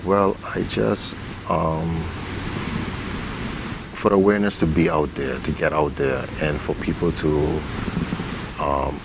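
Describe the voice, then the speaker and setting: A man being interviewed as a play's director, talking casually. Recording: steady background hiss and rumble.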